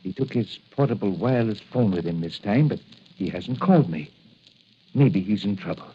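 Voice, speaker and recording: An actor playing a kindly, worried apothecary and inventor who mutters to himself, in 1940s radio style on a dull, hissy old recording. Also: male voice, on the low side, expressive, husky. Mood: musing, concerned. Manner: musing, deliberate, muttering.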